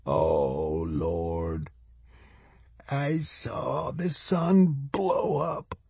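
A man plays an elderly man near death in a novel. He mutters faintly and slowly, delirious and spent, in a close dry recording. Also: mid-range voice, fairly even intonation, gravelly, mellow, husky, no echo, voice pushed up, exaggerated voice.

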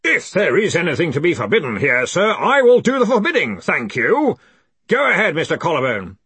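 Bellowing, pompous old wizard chancellor. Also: male voice, high-pitched, very animated, normal speed, pushed and bright, slightly rough, no room sound, pitched above the performer's own voice, heavily acted. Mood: furious. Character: blustering, furious old university arch-chancellor, pompous wizard.

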